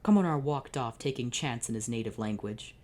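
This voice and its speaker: Woman, low voice, mellow, deadpan fanfiction reading, sarcastic asides. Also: fairly quick. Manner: deadpan narration, exasperated interjections. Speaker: fanfiction reader.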